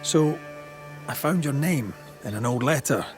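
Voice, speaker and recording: An actor playing a shrewd, persistent investigator of hauntings in a video game cutscene, probing and wry. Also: male voice, medium pitch, expressive, measured pace, faint music behind, lightly acted.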